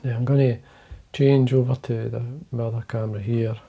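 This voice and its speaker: A man with a Scottish accent, talking quietly and casually to camera from his fly-tying desk, rambling with fillers and restarts. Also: mid-range voice, level tone, brisk, gravelly, lo-fi sound. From Scotland. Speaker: fly-fishing video host.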